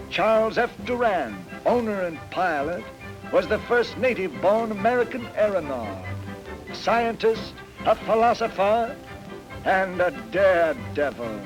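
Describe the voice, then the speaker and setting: A man's voice: high voice, low-quality recording. An actor playing a wry, playfully pompous male lecturer giving comic commentary in a 1940s training film. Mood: showy, pompous. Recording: 1940s film soundtrack over music.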